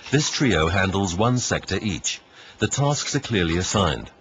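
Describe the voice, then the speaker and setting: A man reading scripted instructional narration in a measured way. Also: low voice, animated, background noise. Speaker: narrator of a corporate training film. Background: background music.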